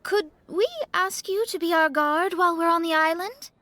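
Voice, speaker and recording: A woman voicing a young female astrologer mage in an anime game, polite but fussy and easily flustered, very expressive. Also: very high-pitched, moderate pace. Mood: delighted. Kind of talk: anime video game voice acting.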